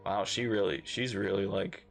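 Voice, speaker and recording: A man's voice: lowish voice, fairly even intonation, normal speed, creaky, faint music behind. A man giving slangy, empathetic, off-the-cuff commentary as the host of a reaction video.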